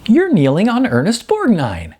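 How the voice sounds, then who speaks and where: A man's voice: high-pitched, huge pitch swings, normal speed, a little mellow, slightly rough, voice pushed up, heavily acted. A man voicing a timid but teasing young woman, light, girlish and playful, in an audiobook story. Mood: amused, giggly.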